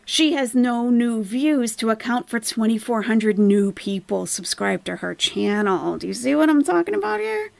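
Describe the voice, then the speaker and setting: A woman's voice: fairly high pitch, animated, normal speed, creaky, hardly any pauses, a little mellow, no echo. A woman hosting a casual live commentary stream, explaining things emphatically to viewers and asking rhetorical questions. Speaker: livestream host, YouTube commentator.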